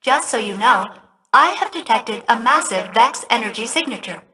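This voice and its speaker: A woman's voice: a little high, expressive, moderate pace, background noise, close to an everyday voice. A woman voicing a video game ship AI, precise and polite with a sardonic undercurrent. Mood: chirpy, polite. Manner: precise, falsely cheerful, sardonic. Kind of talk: comic video game dialogue.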